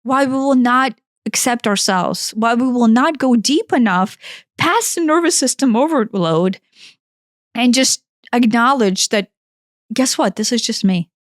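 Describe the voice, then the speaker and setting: Woman, 40s, Ukrainian accent, podcast co-host; high voice, dry close sound, blunt and emphatic. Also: unhurried, no room sound. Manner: emphatic, blunt, swears freely. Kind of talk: impassioned self-help talk.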